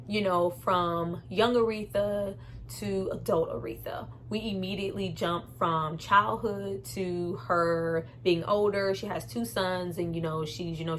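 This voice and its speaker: A woman's voice: lowish voice, a bit bright, a little hiss or hum. A woman giving a casual, opinionated movie review in a candid, conversational and emphatic way. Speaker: film reviewer and host.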